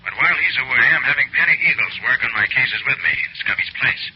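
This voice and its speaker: An actor playing a confident, shrewd private detective in 1940s radio drama style, brisk and self-assured. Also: male voice, medium pitch, lively intonation, lightly acted. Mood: brisk, friendly. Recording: muffled, noisy old radio broadcast.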